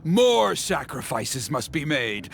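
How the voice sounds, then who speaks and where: Zealot elder, high voice, sweeping intonation, formal, theatrical, stern, video game, noisy background. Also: male voice, big pitch swings, very slow, gravelly, fairly bright tone, faint music behind, exaggerated voice. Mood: manic fervour.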